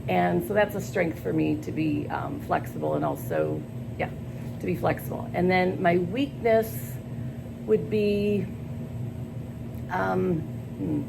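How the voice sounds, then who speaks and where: An older woman speaks in a low, gravelly voice. She talks earnestly and haltingly, with plenty of ums and pauses. The recording carries steady background noise. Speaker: school staff job candidate. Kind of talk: job interview answers. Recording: noisy background.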